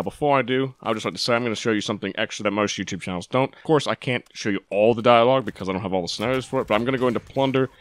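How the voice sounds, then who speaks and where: A man hosting a gaming video, giving explanatory showcase commentary as a voice-over. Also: on the low side.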